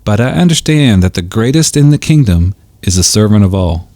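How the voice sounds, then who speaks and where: A man's voice: lowish voice, animated, fairly quick, warm tone, no room sound, clean studio sound, natural voice. Man acting a heartfelt, devoted fisherman disciple. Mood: humbled, earnest. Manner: earnest, heartfelt. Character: once ambitious, devoted fisherman disciple, humbled. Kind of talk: audio drama acting.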